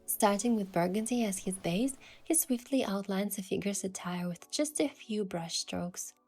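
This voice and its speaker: A young woman gently narrating a romantic story in the first person as its heroine, in a soft, hushed voice. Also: mid-range voice, normal speed, husky, soft background music.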